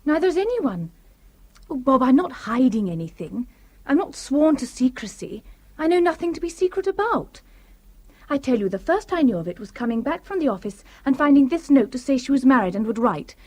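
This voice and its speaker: An actress playing a breezy, candid young British flatmate in a radio drama, brisk and a little defensive. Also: female voice, high voice. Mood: defensive, exasperated.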